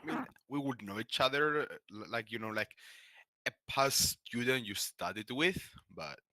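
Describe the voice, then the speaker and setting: A man chatting casually and somewhat haltingly as a player in a tabletop role-playing game. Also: medium pitch, sharp tone, no echo. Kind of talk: casual chat about game characters.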